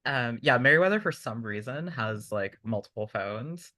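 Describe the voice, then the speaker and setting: A man's voice: mid-range voice, animated, moderate pace, pushed and bright, no echo. A man playing a tabletop game, talking casually and tentatively as he describes what his character does.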